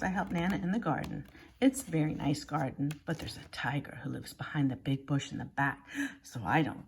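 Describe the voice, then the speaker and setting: A woman's voice: deep voice, vocal fry, slight background noise. A woman reading a children's picture book aloud like a schoolteacher, expressively and with exaggerated emphasis.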